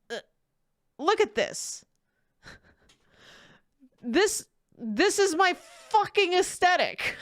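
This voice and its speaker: A woman with a slightly high voice, recorded close and dry, reacts as a streamer. She talks casually and expressively, gushing and swearing freely. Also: a bit bright, dry recording.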